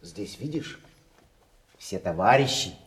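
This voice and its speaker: An actor playing a jovial, scheming card sharp in a theatrical audio play, expansive and hearty with drawn-out exclamations. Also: male voice, fairly low pitch, expressive. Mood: boisterous, hearty. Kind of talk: theatrical radio play acting. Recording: roomy stage sound with music underneath.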